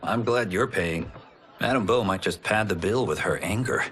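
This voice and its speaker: An actor playing a calm, sensible young male farmer with a steady, gently wry manner, in a video game cutscene. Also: fairly low pitch, moderate pace. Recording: game soundtrack music behind.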